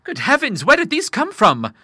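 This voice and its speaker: A man's voice: mid-range voice, expressive, fairly quick, sharp tone, no echo, clean studio sound. A man voicing an earnest young businessman in money trouble who speaks formally and with feeling.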